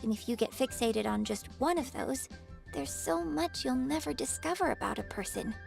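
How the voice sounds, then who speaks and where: A woman's voice: high-pitched, animated, normal speed, gravelly, over music, exaggerated voice. A woman playing a poised young stage performer in a video game, friendly and playfully dramatic, speaking in a composed, articulate, theatrical way. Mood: mildly chiding.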